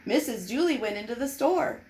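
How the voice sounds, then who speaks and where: A woman reading a children's storybook aloud in an expressive, deliberate, dramatized way. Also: on the high side. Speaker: storybook reader. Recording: noticeable background noise.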